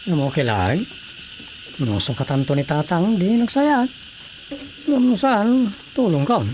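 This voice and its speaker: Low-voiced Ilocano village councilor. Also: female voice, animated, unhurried, darkish tone, a little hiss or hum. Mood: matter-of-fact. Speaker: radio drama actor. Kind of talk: comic Ilocano radio drama acting.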